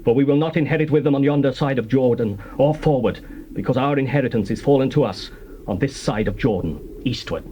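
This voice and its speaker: Male actor doing determined bible tribesman, fast formal delivery, bit of old cassette noise. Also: mid-range voice, dark tone, natural voice. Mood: resolute. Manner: formal, declamatory. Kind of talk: dramatized King James Bible reading. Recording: noisy old cassette tape.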